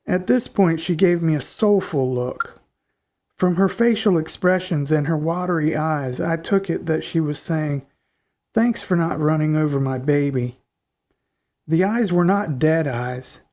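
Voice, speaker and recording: A man's voice: fairly high pitch, measured pace. Congested nasal man reading stories. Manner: steady, plain reading pace with small restarts. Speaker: host reading listener stories. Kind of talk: storytelling narration.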